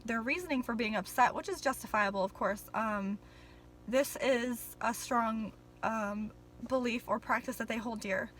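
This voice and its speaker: A woman's voice: on the high side, fairly bright tone, poor audio. A young woman vlogging in a casual, rambling way, full of ums and apologies, a little nervous on camera.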